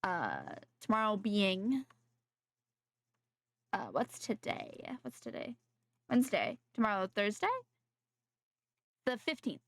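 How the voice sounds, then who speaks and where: A woman game streamer chatting casually to viewers, haltingly thinking aloud and repeating her phrases. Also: medium pitch, sing-song, no room sound. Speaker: game livestreamer. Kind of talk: casual livestream chat.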